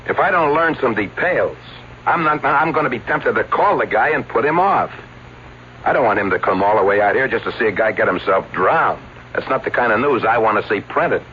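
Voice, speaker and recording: Guy playing a wry exasperated press agent type, swingy pitch, old radio play, muffled sound. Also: animated, fairly quick, natural voice. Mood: wary, insistent. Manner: wry, nagging, plain-spoken. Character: exasperated, skeptical longtime publicity manager of a stuntman. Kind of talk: vintage radio drama acting. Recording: dull, noisy old radio drama recording.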